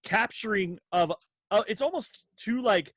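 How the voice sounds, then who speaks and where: A high-pitched male voice, recorded dry and close. He is a podcast host who chats casually and gushes with enthusiasm, reaching loosely for his words. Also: lively intonation, measured pace, fairly bright tone, no room sound. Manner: enthusiastic, effusive. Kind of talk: casual chat.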